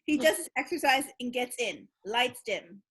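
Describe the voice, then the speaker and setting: Bright, high-pitched woman narrator. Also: expressive, moderate pace, slightly rough. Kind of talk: reading stage directions in comic amateur play.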